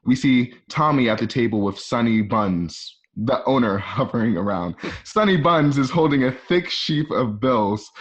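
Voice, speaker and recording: A man's voice: medium pitch, lively intonation, unhurried, few pauses. A man reading scripted scene descriptions as the narrator of an amateur radio play. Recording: dull lo-fi home recording.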